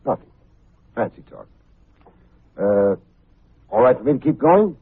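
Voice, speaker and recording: An actor playing a cool, wry, hard-boiled private investigator who talks and narrates in old-time radio drama style. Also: male voice, mid-range voice, very animated, husky, exaggerated voice. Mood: cool needling. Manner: wry, unhurried, wisecracking. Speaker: actor playing the lead detective, also narrating. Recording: muffled narrow-band old radio recording, faint music.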